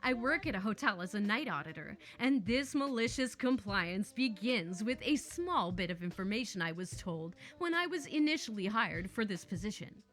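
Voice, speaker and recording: A woman reading online stories aloud who breaks into playful, chatty asides. Her voice is bright and fairly high, with a lively, swinging pitch. Faint music plays underneath. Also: normal speed, few pauses. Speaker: story narrator.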